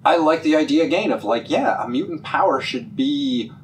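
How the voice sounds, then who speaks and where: Animated young man, halting casual chat. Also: on the high side, slight background noise, rough recording. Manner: animated, halting, lots of "like" restarts. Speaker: podcast co-host. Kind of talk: casual chat about a TV episode.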